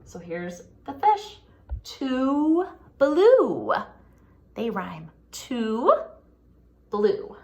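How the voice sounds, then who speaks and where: A woman reads a picture book to young children as their teacher would. Her pitch moves up and down in an animated, singsong way. She takes her time and stops to put questions to the listeners. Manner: expressive, singsong, pausing to ask children questions. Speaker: pre-K teacher.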